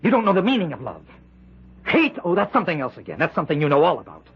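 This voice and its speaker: An actor playing a tormented, bitter husband gripped by a murderous obsession, speaking intensely and haltingly in an old-time radio drama. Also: male voice, on the high side, wildly swooping pitch, husky, exaggerated voice. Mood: menacing, contemptuous. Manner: halting, intense, repeats phrases. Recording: muffled old radio recording.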